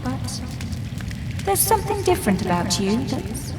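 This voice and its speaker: Young, earnest, gifted girl for a fantasy game; lightly high, solemn, over clear background music. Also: very animated, measured pace. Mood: calm, candid. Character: gentle, earnest young girl with mystical gifts. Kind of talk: dramatic video game acting.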